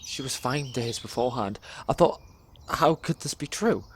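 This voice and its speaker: A young man performs a dramatic spoken word monologue in a measured, deliberate storytelling way, pausing between phrases. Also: mid-range voice, slight background noise. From England. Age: young adult.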